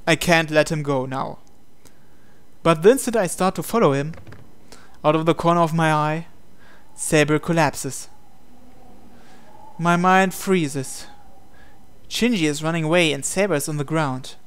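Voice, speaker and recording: A man's voice: a little high, a little slow, long pauses, a bit bright, smooth. A man hosting a gaming channel, reading visual novel narration aloud.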